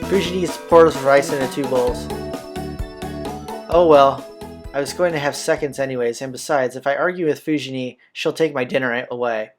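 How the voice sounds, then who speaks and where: A man's voice: medium pitch, normal speed. Man reading visual novel narration over music. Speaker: video game playthrough host. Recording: music behind the voice. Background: game soundtrack music.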